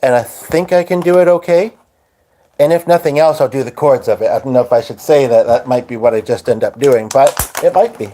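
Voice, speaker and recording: A man's voice: medium pitch, a little fast, mellow, slight background noise. A man live-streaming from home at his piano, chatting loosely to his viewers as he sets up to play. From Hungarian. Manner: rambling, talks to viewers while setting up. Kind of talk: casual live-stream chat. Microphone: phone.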